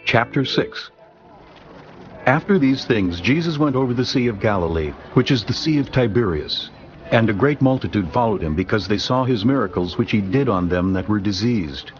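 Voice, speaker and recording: A man narrating scripture in a steady, formal reading voice. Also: on the low side, normal speed, highs cut off. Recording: music underneath.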